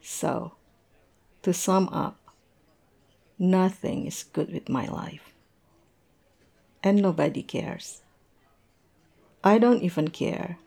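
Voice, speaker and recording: Woman playing a slow-talking, tipsy lovestruck woman. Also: fairly low pitch, lively intonation, gravelly, warm tone, exaggerated voice. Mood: bitter resignation. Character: tipsy lovestruck young woman confessing to her professor, self-mocking. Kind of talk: roleplay audio drama.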